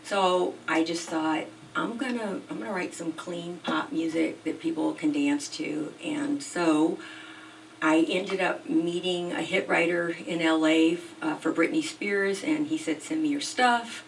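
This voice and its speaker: A woman singer-songwriter chatting casually as an interview guest, telling long rambling stories with a soft, sweet voice. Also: low-pitched, unhurried, creaky. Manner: chatty, rambling storyteller with frequent ums. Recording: distant mic with faint background noise.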